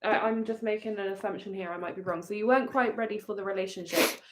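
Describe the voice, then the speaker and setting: A woman's voice: mid-range voice, quick pace, background noise. Tentative woman co-hosting a Q&A. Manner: tentative, hedging. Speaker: co-host guest. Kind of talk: live stream Q&A.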